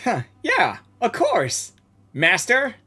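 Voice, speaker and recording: A woman streams her reactions to a video game in casual, informal speech. Her pitch moves around a lot as she talks back to the characters, with faint music behind her. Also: wildly swooping pitch, takes it slowly, pushed and bright, breathy edge.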